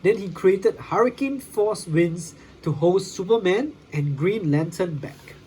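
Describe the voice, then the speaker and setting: A storybook reader giving a dramatic, expressive read-aloud for children. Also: medium pitch, a little slow, a little hiss or hum, rough recording. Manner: dramatic, with sound effects.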